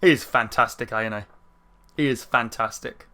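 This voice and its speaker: A young man with a croaky throat, rambling through casual gameplay commentary with lots of fillers. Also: medium pitch, moderate pace. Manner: rambling, chatty, many fillers, excited outbursts. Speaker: gaming channel host. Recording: faint game music behind. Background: game music.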